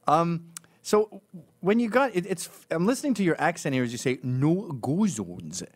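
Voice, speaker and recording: Man, host, teasing, provocative, lively, slightly high voice. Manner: teasing, provocative, quick impressions. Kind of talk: casual chat.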